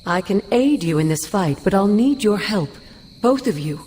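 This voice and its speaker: A woman's voice: mid-range voice, lively intonation, normal speed, dark tone, slight background noise, lightly acted. An actress playing the ghost of a stern but caring older woman mentor in a video game cutscene, speaking formally and with command. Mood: solemn.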